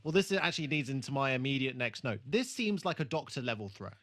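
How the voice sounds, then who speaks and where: A man's voice: medium pitch, lively intonation, fast talker, a bit bright. A man co-hosting a casual TV re-watch discussion, talking in an analytical, hedging way. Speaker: podcast co-host.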